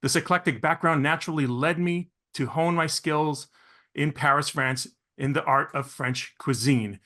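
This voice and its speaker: A middle-aged man from Venezuela with a bright, forward voice pitched a little high. He hosts a podcast with effusive showmanship and polished delivery. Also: moderate pace. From Caracas, Venezuela. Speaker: podcast host. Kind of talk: polished scripted introduction, then interviewing.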